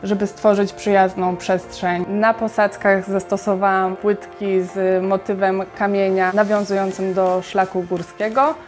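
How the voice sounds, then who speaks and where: Calm woman architect explaining her design. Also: mid-range voice, unhurried, few pauses, soft background music. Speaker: interior architect, guest.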